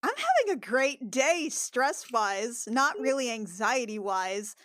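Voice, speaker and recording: High-voiced woman playing a tabletop game. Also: big pitch swings, slow pace, fairly bright tone, no room sound, studio quality. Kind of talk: casual, playful game chat.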